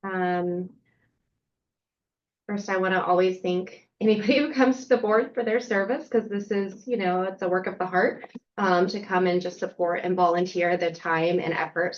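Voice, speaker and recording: Appreciative woman on a muffled call. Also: lowish voice. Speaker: board member. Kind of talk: running a board vote. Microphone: video call. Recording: dull video-call audio.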